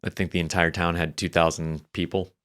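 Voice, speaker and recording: A man's voice: low-pitched, little pitch movement, brisk, a bit bright. A young man, a Navy veteran from New Hampshire, telling his life story as a podcast guest in a rambling, restarting way. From New Hampshire, USA. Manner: rambling, many restarts and fillers. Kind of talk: casual interview storytelling.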